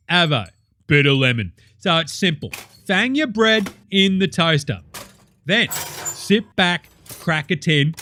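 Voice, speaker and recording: A man's voice: on the high side, bright, forceful tone. A man playing a brash, crude male TV cooking host with an Australian accent, full of slang and swearing as he talks to a studio audience. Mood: instructive. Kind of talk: comic sketch, cooking show parody.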